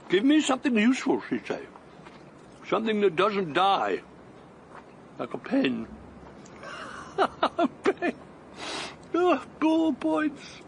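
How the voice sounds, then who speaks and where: A man's voice: high voice, slight background noise, exaggerated voice. An actor playing an older man out on a long walk, breathless and wondering, chuckling to himself in a radio drama.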